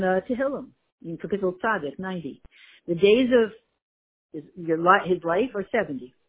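Female Torah teacher, animated lecture style, slightly husky, tinny phone-line recording. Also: mid-range voice. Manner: lively, mixes Hebrew and Yiddish terms, searches for words. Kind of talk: Torah class explaining a text.

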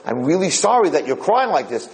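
A man's voice: mid-range voice, animated, normal speed, a little mellow, close to an everyday voice, rough recording. A good-natured old fellow, acted out by a male storyteller, earnest and apologetic. He speaks in an everyday mid-range voice and trips over his words when flustered. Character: happy-go-lucky, kindly, apologetic elderly nursing home resident.

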